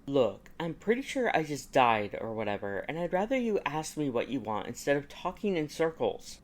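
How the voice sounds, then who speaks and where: A man's voice: fairly high pitch, sing-song, normal speed. Audiobook role: sullen teenage boy wizard, short-tempered, blunt and grudging, read by a male narrator. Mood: defiant. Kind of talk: audiobook character dialogue.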